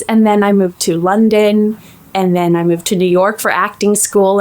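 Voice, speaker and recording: A Swedish-accented woman in her forties talks as an interview guest, pitched in the middle of the female range. Her talk is lively and chatty, with easy laughter. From Sweden. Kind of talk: casual interview chat.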